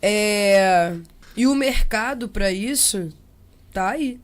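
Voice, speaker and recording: A young Brazilian woman speaking casually and reflectively as an interview guest, expressive and slangy, slipping into imagined quotes. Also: medium pitch, takes it slowly. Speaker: interview guest, percussionist and samba singer.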